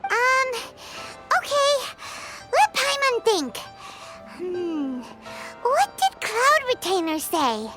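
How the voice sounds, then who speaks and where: A woman voicing a tiny childlike fairy companion in a video game, squeaky, eager and cheerfully naive, exclaiming and talking about herself in the third person. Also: very animated, extremely slow, pauses often, bright, forceful tone, full character voice. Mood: unsure, pondering. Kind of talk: video game character acting. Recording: music behind the voice.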